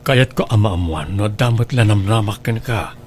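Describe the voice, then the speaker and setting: A man's voice: fairly low pitch, expressive, normal speed, darkish tone, a little hiss or hum, lightly acted. A man acting an evasive, smitten middle-aged father in a radio drama, with a coaxing manner. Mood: wooing, eager.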